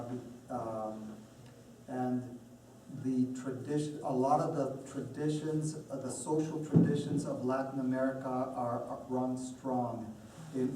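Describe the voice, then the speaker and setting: Flat-voiced man, distant echoey room. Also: medium pitch. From Colombia. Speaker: panel speaker, parent. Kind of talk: casual group discussion. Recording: echoey room, distant mic, audible noise.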